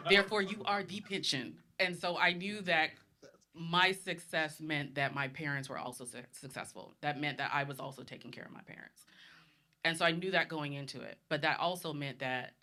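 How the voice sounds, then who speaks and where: A woman's voice: deep voice, bright, forceful tone. A woman in her thirties from the Bahamas, a panelist speaking bluntly and confidently in a live discussion.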